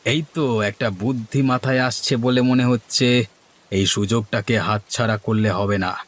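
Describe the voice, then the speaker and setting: A man's voice: medium pitch, fairly even intonation, measured pace, natural voice. Cartoon village trader played by a man: mid-range voice, exaggerated, dramatic dialogue. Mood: sly, calculating.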